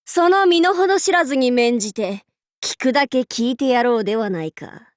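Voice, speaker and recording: A woman's voice: high-pitched, expressive, normal speed, dry recording, studio quality. A woman playing a proud, boisterous warlord in an anime game, with pompous, playful, archaic lordly speech. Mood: imperious. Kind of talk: anime game character voice lines.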